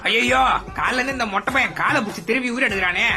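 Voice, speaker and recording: An actor voicing an exasperated, joking parent in a comic dub, animated and mock-complaining over music. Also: mid-range voice, quick pace, lightly acted. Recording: music behind voice.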